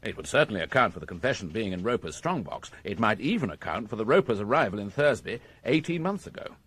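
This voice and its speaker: British police inspector in radio drama, bit husky, lively intonation, formal n precise, methodical type. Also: male voice, on the low side, quick pace, full character voice. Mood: measured, formal. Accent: British English. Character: methodical, courteous male police inspector reporting his findings.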